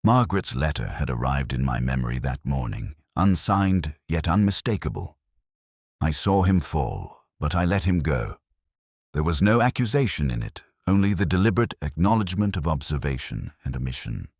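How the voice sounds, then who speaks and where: Male actor as weary, brooding inspector narrating a detective drama; extremely low voice, lively intonation, solemn and measured. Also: husky, no room sound, clean studio sound, exaggerated voice. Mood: reflective. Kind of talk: slow scripted first-person audiobook-style narration.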